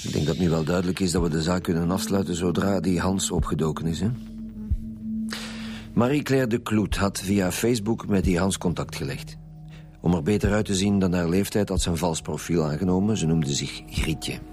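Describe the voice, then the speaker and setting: A man's voice: sits low, normal speed, a little mellow, soft background music, close to an everyday voice. A man playing a blunt, impatient police commissioner with a Flemish accent in a radio drama. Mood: brusque, decisive. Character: gruff, insistent male police commissioner leading homicide detectives. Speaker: actor in a radio detective serial.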